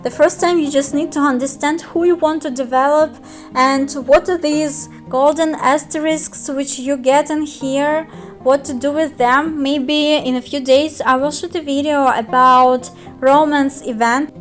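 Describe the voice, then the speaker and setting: A woman's voice: high-pitched, steady tone, measured pace, faint music behind. A young woman, a native Russian speaker with a Russian accent, walking through a mobile game in a careful, hesitant tutorial voice full of fillers. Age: young adult. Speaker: game guide youtuber. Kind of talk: screen-recorded game tutorial.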